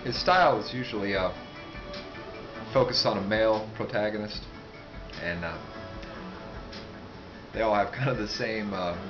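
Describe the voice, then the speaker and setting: Music plays clearly behind a man with a fairly low voice. He talks casually and without a script, in a halting, rambling way with asides that trail off. The recording is a little dull and lo-fi. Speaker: book reviewer, vlogger. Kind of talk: casual, unscripted talk to camera.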